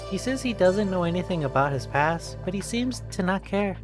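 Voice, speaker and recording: A streamer gives an expressive read-aloud of a visual novel. The voice sits at a medium pitch, with game music clearly playing behind it. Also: normal speed.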